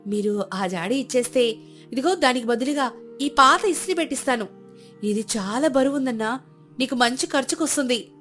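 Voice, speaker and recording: Woman doing a clever young daughter-in-law, calm, polite, reassuring, high voice, music behind. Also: normal speed.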